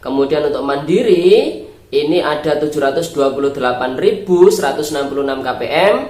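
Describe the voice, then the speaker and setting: An Indonesian YouTube host speaks with a nasal, flu-congested voice in a roomy, echoing space. The pitch sits in the middle, and the delivery is explanatory and informational, addressed directly to the viewers. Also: animated, fairly quick, a little mellow. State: stuffed-up nose from flu. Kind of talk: informational vlog narration, reading out an official letter. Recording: roomy echo on the voice.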